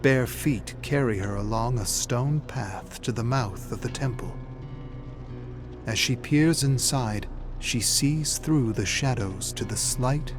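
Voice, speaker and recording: A man delivering grave, deliberate, theatrical narration of a dark fantasy story. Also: mid-range voice. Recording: music behind the voice. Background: dramatic music.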